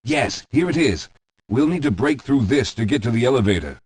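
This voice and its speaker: A man's voice: medium pitch, animated, a little fast, darkish tone, close to an everyday voice. Video game scientist, male, acted: weary and guilty, formal explanatory delivery, middle register, strong echo around the voice. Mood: impatient, determined. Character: weary, guilt-ridden scientist, anxious and self-critical. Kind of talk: scripted video game character dialogue. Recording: echoey hall with long reverb.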